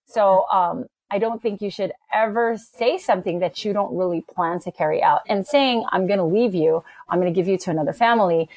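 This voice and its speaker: A woman speaks casually as a podcast panelist, in a middle-range pitch. She is earnest and measured, thinking her points through aloud. The sound is dry, with hardly any room on it. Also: a little mellow, dry recording. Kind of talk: casual discussion.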